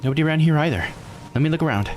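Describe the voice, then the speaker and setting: A man voicing a pensive, uneasy young woman, the protagonist of a visual novel, in a measured inner monologue. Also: fairly high pitch, animated, a little mellow, voice pushed up, close to an everyday voice. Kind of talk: reading visual novel dialogue aloud in character. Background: game music.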